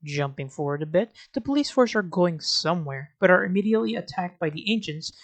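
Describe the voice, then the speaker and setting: A woman's voice: lowish voice, animated, a little fast, no room sound. A woman giving scripted video commentary as an anime reviewer, in an informal, sarcastic way.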